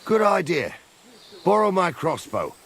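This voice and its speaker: A gruff old-hand army captain in a medieval game, plain talking, very up and down. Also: male voice, high-pitched, big pitch swings, measured pace, a bit bright, heavily acted. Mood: brisk, practical. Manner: blunt, colloquial. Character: gruff, practical soldier captain, seasoned and wry. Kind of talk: video game cutscene acting.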